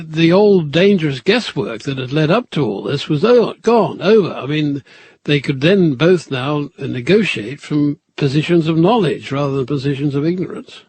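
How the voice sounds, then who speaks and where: A man with a husky voice giving thoughtful, deliberate historical commentary in an emphatic, explanatory way. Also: a little high, darkish tone, no room sound.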